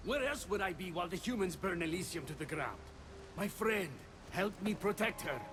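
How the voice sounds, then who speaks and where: An actor playing an earnest, loyal messenger god in a video game, emotive and theatrical. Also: male voice, high voice, lively intonation, normal speed, music in the background. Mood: distressed. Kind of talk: dramatic video game acting.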